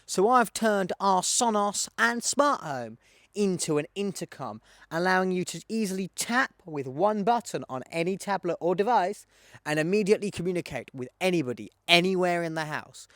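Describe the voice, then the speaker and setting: A man's voice: high voice, animated, moderate pace, fairly bright tone. Man explaining a tech project step by step. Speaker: tech tutorial presenter. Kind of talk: explanatory demo narration.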